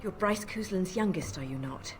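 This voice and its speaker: An actress playing a proud, formal noblewoman in a fantasy video game, commanding and measured. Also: on the low side, animated, a little hiss or hum, close to an everyday voice. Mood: gracious.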